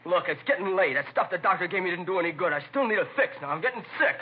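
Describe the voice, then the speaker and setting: Sullen youth, fast husky delivery, insolent, 1950s radio drama, phone-like vintage recording. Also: male voice, sits high, lively intonation. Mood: desperate pleading. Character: surly, defiant teenage boy addict. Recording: tinny, band-limited vintage radio recording.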